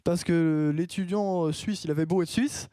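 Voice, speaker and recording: A man's voice: a little high, a little slow, mellow, no room sound. A young man hosting a casual student radio show, rambling with many restarts and fillers. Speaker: radio show host and columnist.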